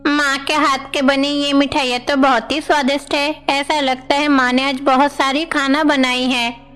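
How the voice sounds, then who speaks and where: A woman's voice: high-pitched, little pitch movement, normal speed, a bit bright, faint music behind. A woman voicing an innocent, eager young divine boy in devotional storybook dialogue. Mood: delighted. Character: young divine brothers, eager hungry little boys.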